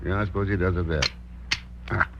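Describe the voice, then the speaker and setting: An actor playing a cool, laconic, determined investigator with a clipped, dry manner in a 1940s radio drama. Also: male voice, deep voice, steady tone, brisk, lightly acted. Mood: cool, wry. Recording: dull, noisy old radio recording.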